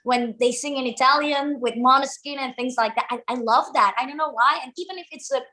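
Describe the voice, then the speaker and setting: High-voiced bubbly young woman from Flanders. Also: a little fast, pushed and bright. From Flanders, Belgium. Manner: bubbly, giggly, restarts often. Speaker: singer-songwriter guest. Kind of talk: casual interview answers.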